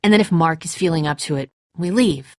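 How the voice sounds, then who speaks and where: A woman's voice: sits low, brisk, a little mellow, dry recording, clean studio sound, lightly acted. An actress playing a tense, defensive woman who leads a group of survivors in a dramatic video game, blunt, confrontational and exasperated. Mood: worried.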